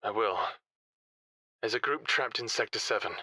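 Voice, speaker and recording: A man's voice: lowish voice, moderate pace, bright, forceful tone, no echo. An actor playing a confident, tender shadow operative devoted to his lover in an audio drama. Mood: determined, reassuring.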